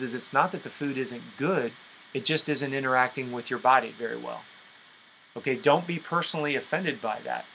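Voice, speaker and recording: Bright, forceful male fitness coach. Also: medium pitch, a little hiss or hum, low-quality recording. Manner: emphatic, explanatory, with rhetorical check-ins. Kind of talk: instructional coaching on a conference call.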